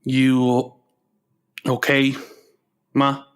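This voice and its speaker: A man's voice: medium pitch, monotone, drawn-out words, clear, resonant tone. A man playing an earnest, laid-back grown son who writes sad country songs, in a comic radio play.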